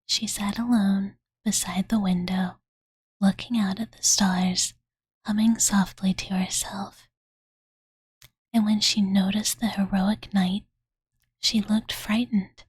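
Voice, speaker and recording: A woman voicing a cute, devoted young dragon girl who softly tells her master a bedtime story in a cozy ASMR roleplay, with cutesy, filler-heavy speech. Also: mid-range voice, flat delivery, takes it slowly, dry recording. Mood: soft storytelling. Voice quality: soft breathy whisper. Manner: valley-girl filler-laden, soothing, cutesy third-person speech. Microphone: close-up studio condenser microphone, dry.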